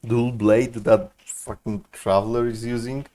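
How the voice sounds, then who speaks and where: A man's voice: mid-range voice, level tone, unhurried, dark tone. Young dude streaming games, sweary n slangy, kinda disbelieving, takes his time talking. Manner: profane, slangy, incredulous. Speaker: streamer reacting to a game. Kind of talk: casual live game commentary.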